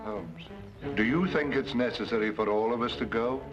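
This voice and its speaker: A man's voice: mid-range voice, moderate pace, close to an everyday voice. A man acting a fussy, complaining police inspector with a hesitant, grumbling manner in an old detective drama. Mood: uneasy. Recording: dull vintage soundtrack, music behind.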